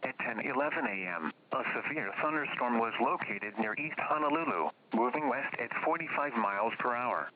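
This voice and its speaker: A man's voice: mid-range voice, animated, normal speed, pauses often, narrow, tinny audio, noisy background. A man reading scripted weather alert bulletins as a radio announcer, in a formal, impersonal and stilted manner.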